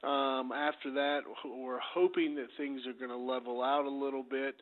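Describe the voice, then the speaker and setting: Hoarse financial guest over a phone line. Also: male voice, medium pitch, level tone, moderate pace. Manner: upbeat, easygoing sales talk. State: losing his voice. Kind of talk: radio guest segment.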